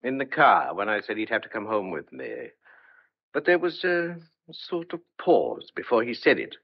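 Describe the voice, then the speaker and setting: A man's voice: lowish voice, big pitch swings, normal speed. An actor playing an opinionated, teasing older country father in an old-fashioned audio drama. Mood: musing. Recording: dull, muffled lo-fi audio.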